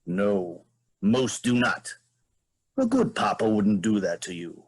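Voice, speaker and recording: Man playing an imperious, husky moose monarch. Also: lowish voice, wildly swooping pitch, takes it slowly, heavily acted. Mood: coaxing. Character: imperious, irritable moose queen, scheming and persuasive. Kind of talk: staged play reading.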